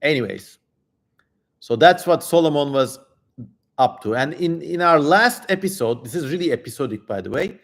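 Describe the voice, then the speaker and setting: A man's voice: a little high, lively intonation, moderate pace, vocal fry. A man hosting a livestream in casual chat, with a wry, informal, digressive way of talking. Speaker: livestream host.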